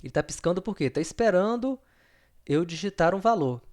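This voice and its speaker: A man's voice: sits high, expressive, fairly quick, darkish tone. A man teaching a recorded programming lesson, patient and repetitive, often checking in with his students. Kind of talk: recorded screen-share lesson.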